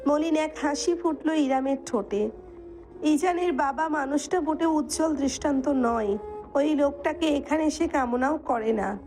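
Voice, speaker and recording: A woman's voice: sits high, normal speed, darkish tone, faint music behind. A woman reading scripted dramatic narration as the storyteller of an audio story.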